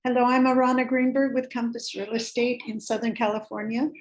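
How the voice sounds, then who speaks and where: A woman's voice: fairly high pitch, level tone, fairly quick. A woman realtor speaking from her office, introducing a guest in a friendly, slightly flustered way. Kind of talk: formal introduction.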